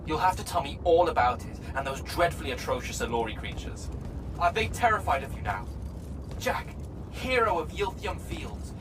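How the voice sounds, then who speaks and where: A chatty, excitable male sidekick from a video game talks in a highish, lively voice. His manner is florid and theatrical. Noticeable ambient noise runs behind him. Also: normal speed. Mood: eager, chatty.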